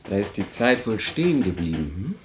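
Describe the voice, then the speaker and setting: An actor playing a solemn, probing gentleman houseguest in a German radio drama, measured and questioning in manner. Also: on the low side, very animated, a little hiss or hum.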